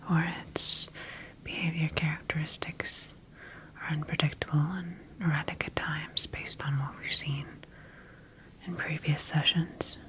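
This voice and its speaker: A woman playing a clinical sci-fi scientist in a whispered ASMR roleplay, dictating observations in a measured, breathy voice. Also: deep voice, steady tone, sharp tone, rough recording. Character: clinical female sci-fi scientist studying her engineered creature.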